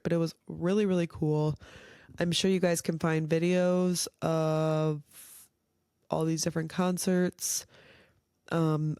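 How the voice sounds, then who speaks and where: A young woman hosting a casual solo podcast, chatty and rambling, her voice a little nasal and raspy from a cold. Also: on the low side, warm tone, dry recording, clean studio sound. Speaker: podcast host.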